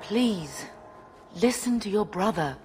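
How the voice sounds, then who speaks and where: A woman's voice: mid-range voice, lively intonation, takes it slowly, a little mellow, soft background music. An actress playing a middle-aged mother fleeing war, weary and pleading.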